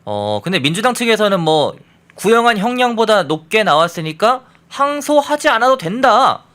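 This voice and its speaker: A man's voice: sits high, very animated, normal speed, a bit bright. A man hosting a political talk show in a conversational way, introducing points and putting questions to a guest. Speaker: host.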